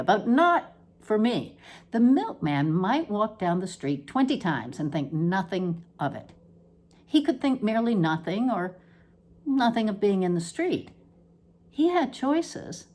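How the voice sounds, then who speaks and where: A woman with a slightly low voice reads a literary essay aloud. Her delivery is deliberate and measured, and she places clear emphasis on her phrasing as she works through the scripted prose. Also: darkish tone.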